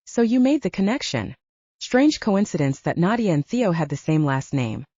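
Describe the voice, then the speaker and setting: A woman's voice: lowish voice, big pitch swings, moderate pace, dark tone, no echo, muffled recording. Woman voicing a guarded, protective alpha man. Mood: firm, defensive. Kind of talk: audiobook character dialogue.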